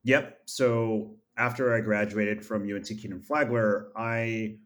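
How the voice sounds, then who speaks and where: A man from upstate New York, a podcast guest, answering in a measured, earnest way with frequent filler sounds. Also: fairly low pitch, steady tone, a bit bright. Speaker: podcast guest, MBA graduate and founder. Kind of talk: reflective interview answers.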